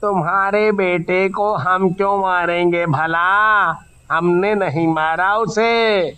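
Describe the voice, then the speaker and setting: A man playing a troubled villager in a horror story, speaking in a worried, deliberate way. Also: high-pitched, fairly even intonation, pushed and bright, clean tone, exaggerated voice. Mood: anxious. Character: anxious male villager, troubled by a monster.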